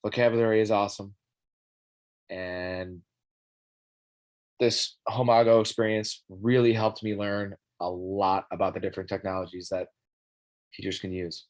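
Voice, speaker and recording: A young man with a somewhat low voice, scratchy from a cold, talking through a casual classroom tech presentation. He stumbles and restarts often, clearing his throat between thoughts. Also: fairly even intonation, normal speed, long pauses, a little mellow. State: scratchy voice with coughing. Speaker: student teacher.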